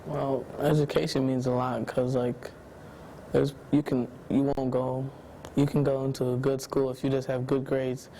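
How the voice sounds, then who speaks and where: A teenage boy answering documentary interview questions in a laid-back, halting way, with lots of "like". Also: medium pitch, flat delivery, warm tone, slight background noise, rough recording. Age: teens. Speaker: student interviewee.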